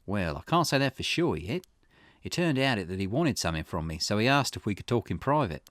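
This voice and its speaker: A man's voice: lowish voice, very animated, brisk, a bit bright. A man voicing a shrewd, self-assured sleuth in a visual novel, explaining with teasing confidence. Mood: sly.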